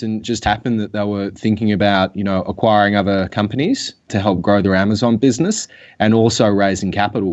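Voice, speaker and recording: A man with an Australian accent, a guest on a business interview calling in remotely, talking in long relaxed runs with many fillers. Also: fairly low pitch, lo-fi sound. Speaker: guest, acquisitions executive. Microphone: remote call.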